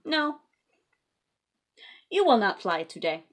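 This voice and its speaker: A woman voicing a blunt, doubting male elephant from a storybook, contradicting with emphatic, drawn-out words. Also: fairly high pitch, big pitch swings, fairly bright tone, full character voice.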